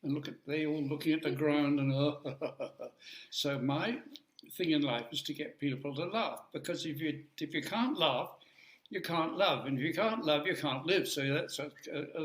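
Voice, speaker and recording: A man's voice: a little high, a bit bright, echoey room. An older man giving a chatty, joking talk to an audience, rambling with asides. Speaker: guest speaker.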